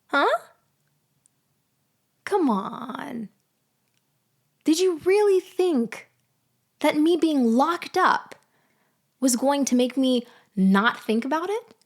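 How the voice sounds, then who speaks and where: A woman playing a betrayed, vengeful ex-girlfriend in an audio roleplay monologue, taunting with deliberate, condescending delivery. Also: sits high, full character voice. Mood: incredulous, angry. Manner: slow, deliberate, condescending, with sighs and pauses. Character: betrayed, vengeful ex-girlfriend confronting her framer, coolly taunting. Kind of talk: girlfriend ASMR-style dramatic roleplay monologue.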